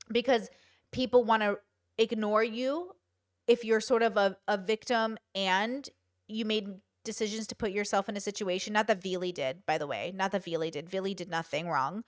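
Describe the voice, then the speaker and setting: A young woman hosting a commentary show, talking straight to her viewers in a casual, animated way with some swearing. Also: mid-range voice, dry recording, clean studio sound.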